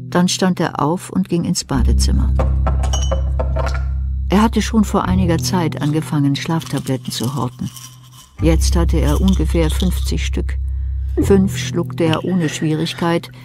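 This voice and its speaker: A man reads scripted narration for an audio drama. His delivery is measured and literary, a storyteller's voice, with plucked guitar playing clearly behind him. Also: on the high side. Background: plucked guitar music.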